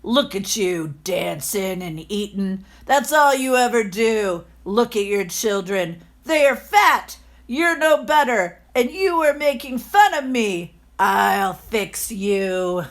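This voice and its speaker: A woman's voice: medium pitch, lively intonation. A woman voicing a mean, miserly old landlord in a children's storybook, grumbling and scornful. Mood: furious. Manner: grumbling, scornful, drawn-out exclamations. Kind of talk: children's storybook read-aloud.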